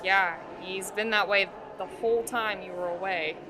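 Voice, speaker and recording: A woman's voice: medium pitch, steady tone, normal speed, noisy background, close to an everyday voice. A woman playing an outspoken, caring but skeptical young girl in an audio play. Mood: concerned. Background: music.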